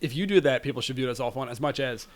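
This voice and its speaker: A man hosting a podcast in casual chat, easygoing, quick to laugh, with halting phrasing. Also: mid-range voice. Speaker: podcast host.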